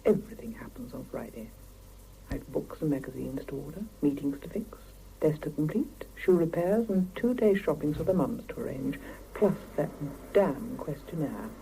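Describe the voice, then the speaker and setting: A woman playing a weary working mother, narrating her life in an intimate, hushed voice in a 1970s radio drama. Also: deep voice, expressive, normal speed, gravelly. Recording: faintly noisy old mono cassette radio recording.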